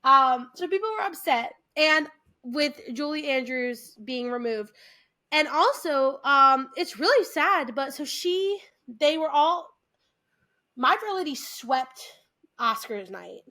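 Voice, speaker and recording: Bright, high-voiced American woman chatting. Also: slow pace. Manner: chatty, animated, trails off and restarts. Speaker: podcast co-host. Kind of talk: casual chat.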